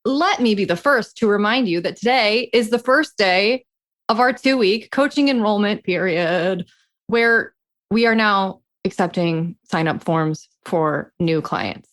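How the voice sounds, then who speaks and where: A woman's voice: medium pitch, animated, a little slow, fairly bright tone. A woman co-hosting a podcast as a coach, chatting casually in a frank, emphatic way. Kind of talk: casual chat between co-hosts.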